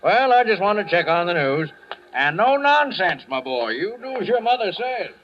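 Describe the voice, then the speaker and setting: A highish, animated older man, avuncular and moralizing. He is hearty and fussy in the 1940s radio manner, heard on a dull old recording. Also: normal speed, a little hiss or hum. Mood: paternal, admonishing. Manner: hearty, paternal, admonishing.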